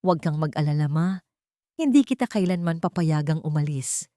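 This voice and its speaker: A woman's voice: deep voice, animated, normal speed, dark tone, dry recording, studio quality. A woman voicing a devoted adult son in an audio drama, firm and reassuring.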